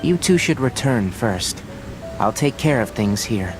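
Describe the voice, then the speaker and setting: An actor playing a calm, earnest young researcher in a video game, explaining things in a measured, considerate way. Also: male voice, mid-range voice, over loud music, close to an everyday voice. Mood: businesslike.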